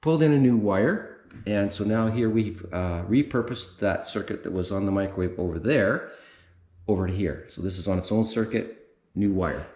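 A middle-aged man with a fairly low voice gives an unscripted walkthrough of a mobile home renovation, explaining the wiring as he points at the walls. He talks loosely, with plenty of fillers and restarts. The sound carries an echo from the empty, bare-walled room, picked up by a camera mic. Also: normal speed, a little mellow. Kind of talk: casual walkthrough explaining electrical work.

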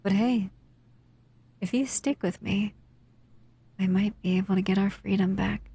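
A woman voicing a doting, seductive female shadow monster in an intimate ASMR roleplay, breathy and soothing, often whispering. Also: mid-range voice, steady tone, a little slow, warm tone. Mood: gentle, sympathetic.

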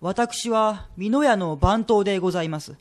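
An actor playing a courteous, greying head clerk in a period radio drama, speaking in a humble, formal, deferential manner. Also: male voice, high voice, moderate pace, natural voice.

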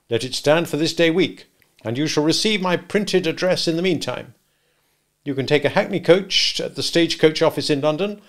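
A man's voice: a little high, gravelly, voice pushed up. A man reading the part of a stern, domineering lawyer, speaking in a clipped, authoritative way. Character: stern, domineering male lawyer, brisk and commanding.

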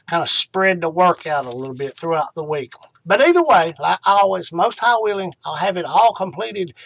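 A middle-aged man speaks as a ministry host in a somewhat high male voice. The sound is dry, with little room around it. He talks informally and devotionally, taking his time and pausing for emphasis. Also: fairly bright tone, dry recording, rough recording. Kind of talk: informal devotional talk to camera.

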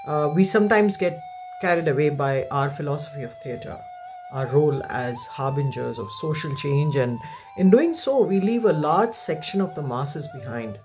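A woman reads a serious scripted message with deliberate emphasis. Her delivery is earnest, and music plays behind her. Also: deep voice, animated. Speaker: actor reading a message. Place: indoor room at home.

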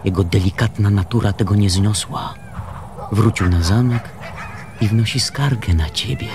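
An actor playing an aggrieved, mocking former assistant who complains chattily in a radio play. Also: male voice, lowish voice, normal speed, noisy background, natural voice.

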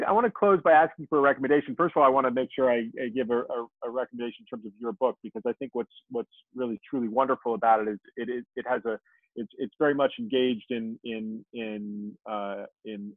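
A man's voice: medium pitch, fairly even intonation, quick pace, no room sound, narrow, tinny audio. A man in his forties hosts a podcast interview from a room lined with bookshelves. His questions come out friendly and rambling, full of restarts. His voice reaches the listener dry and phone-thin over the call. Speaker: podcast host. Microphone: video call.

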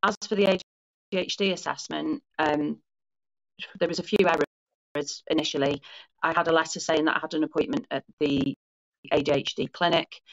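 A woman in her forties with a lowish voice talks through her experiences in a measured, thoughtful way, pausing and correcting herself as she goes. The sound is dull and lo-fi, like audio from a remote call. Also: steady tone. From Wales. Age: 40s. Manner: thoughtful, explains at length with small restarts and self-corrections. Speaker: guest. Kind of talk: casual conversational interview. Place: home lounge.

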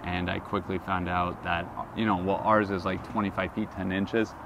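Low-voiced man chatting outdoors. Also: normal speed, recorded from a distance, dull audio. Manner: relaxed, rambling with fillers. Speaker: vlog co-host. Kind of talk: casual travel chat. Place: outdoors beside a travel trailer. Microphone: camera at a distance. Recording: outdoor noise, voice from off-mic.

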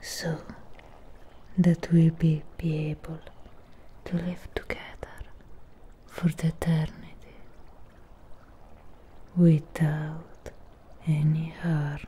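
Female Frankenstein creature, played by a woman, devoted and possessive. Low, hushed ASMR whisper with very little pitch movement, soothing, close-miked. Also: little pitch movement, a little hiss or hum. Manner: whispered, slow, soothing, drawn-out phrases. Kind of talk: ASMR roleplay, close-up whispering and soft talking. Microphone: close-up microphone.

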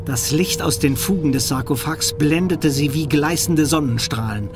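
A man narrating a scripted drama in a measured, suspenseful storytelling manner. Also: fairly high pitch, over music.

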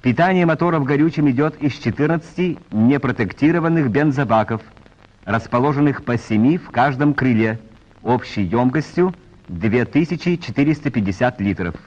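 A man reading scripted narration in a formal, measured announcer style, as in a 1940s training film. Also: mid-range voice, animated, darkish tone. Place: voice-over recorded for a film. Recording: muffled vintage 1940s film soundtrack.

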